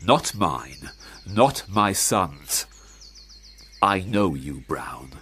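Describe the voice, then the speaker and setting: A stern older parson and father in a radio drama. He speaks slowly and formally, with sweeping rises and falls, in curt commands that expect to be obeyed. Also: sits low, big pitch swings, a bit bright, slight background noise, exaggerated voice. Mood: cold disapproval. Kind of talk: radio play acting.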